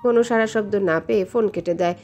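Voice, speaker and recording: A woman's voice: mid-range voice, fast talker, darkish tone. A woman reading a scripted romantic story as its narrator. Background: background music.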